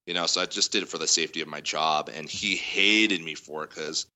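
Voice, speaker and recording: A man with a loud voice, a guest musician in a casual interview, telling a long story with lots of fillers. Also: rumbling low, steady tone, a little fast. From Denver area. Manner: rambling storytelling, heavy fillers.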